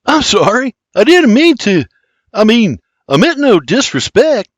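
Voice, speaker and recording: A man's voice: high-pitched, huge pitch swings, slow pace, husky, no room sound, studio quality, pitched above the performer's own voice, full character voice. A man voicing a nervous, lovestruck young squire in a storybook, stammering and bewildered. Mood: nervous, apologetic. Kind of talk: storybook character dialogue.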